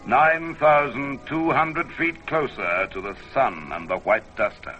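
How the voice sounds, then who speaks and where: A man giving a solemn, theatrical, measured scripted narration for a radio documentary. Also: mid-range voice, sharp tone, dull audio. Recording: band-limited vintage radio recording, music behind. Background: music.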